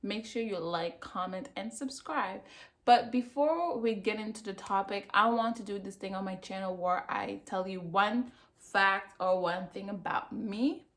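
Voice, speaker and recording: A woman's voice: mid-range voice, a little slow, fairly bright tone. A woman from Cameroon, giving a casual review of a TV show on her channel and stressing her points by saying them twice. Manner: emphatic, repeats herself for effect. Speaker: vlogger.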